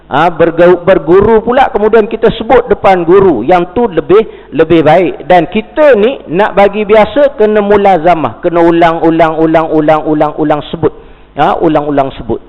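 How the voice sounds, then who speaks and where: A man with a Malaysian Malay accent delivers a mosque lecture in a high voice. He mixes formal reading with chatty asides and rhetorical questions. The dull recording carries the long reverb of a mosque hall. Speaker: religious teacher.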